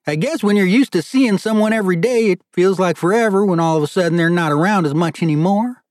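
A man voicing a warm, excitable middle-aged father who runs a restaurant, speaking heartily with a thick accent in an audiobook. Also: sits high, brisk, dry recording, clean studio sound, pitched above the performer's own voice. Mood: warm, hearty.

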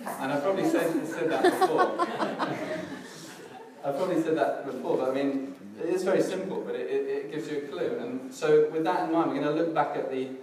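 A middle-aged man with a slightly hoarse, crackly voice, preaching a casual church sermon in a relaxed, self-deprecating way with many fillers and restarts. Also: on the high side, brisk, a crowd in the background. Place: church hall, in front of a congregation. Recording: distant, very echoey hall with background noise.

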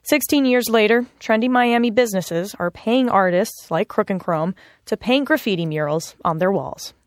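A woman's voice: medium pitch, moderate pace, dry recording, clean studio sound. A woman reading scripted radio news narration as a reporter.

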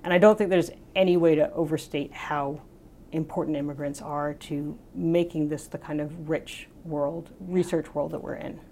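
A woman with a low voice answers interview questions about her research. She talks eagerly and explains things in long running sentences, dropping in "you know" often. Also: fairly even intonation, normal speed, mellow, a little hiss or hum. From United States. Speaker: scientist, professor.

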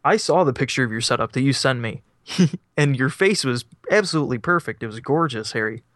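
A man's voice: medium pitch, expressive, brisk, a bit bright, no room sound, clean studio sound. A young man co-hosting a casual podcast from his couch, rambling and self-deprecating, laughing often. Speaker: podcast co-host. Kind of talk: casual chat. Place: on a couch at home. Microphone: microphone set up beside him.

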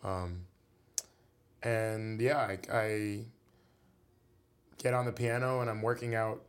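A man's voice: lowish voice, steady tone, takes it slowly, clear, resonant tone. A man, a musician guest in an interview, talking casually and rambling with many fillers.

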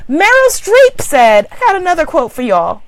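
Brief: woman motivational speaker, exclamatory, builds through repetition, bright high voice. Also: wildly swooping pitch, normal speed, no echo. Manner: emphatic, exclamatory, preacher-like repetition. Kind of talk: inspirational talk to women.

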